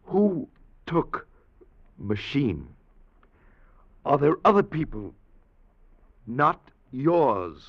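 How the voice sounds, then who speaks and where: Husky fatherly companion. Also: mid-range voice, very animated, very slow, pauses often, full character voice. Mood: pressing. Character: older male companion, fatherly and steady. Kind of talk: 1940s radio acting. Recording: dull old radio recording.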